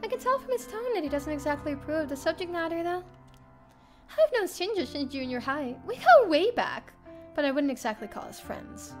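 Young woman, high voice, very lively intonation, expressive story narration, game streamer. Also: a little fast. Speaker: streamer reading a visual novel. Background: game music.

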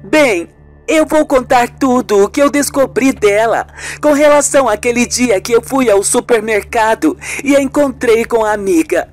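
A woman's voice: fairly high pitch, normal speed, darkish tone, soft background music, lightly acted. A woman playing an earnest, accusing female friend in an amateur radio soap, explaining things insistently. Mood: confiding.

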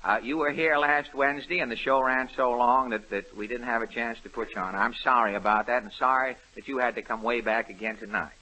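A man's voice: mid-range voice, fairly even intonation, brisk, hardly any pauses, pushed and bright, low-quality recording. A man hosting a 1930s radio amateur contest, wry and joking, stumbling over many uh's on an old broadcast recording. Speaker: radio show host.

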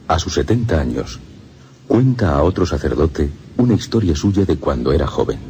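Very deep-voiced solemn Castilian male narrator. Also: darkish tone, slight background noise. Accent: Castilian Spanish. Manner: solemn, deliberate, suspenseful phrasing. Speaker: radio presenter and narrator. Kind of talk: dramatic scripted narration for radio drama. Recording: music under the voice.

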